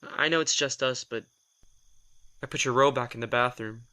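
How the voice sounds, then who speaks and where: A gruff, defensive young vampire who answers in short, prickly bursts, played by a man. His voice is bright and forceful, and his pitch sits in a middling range. Audio drama acting. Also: lightly acted. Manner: terse, prickly, quick to snap.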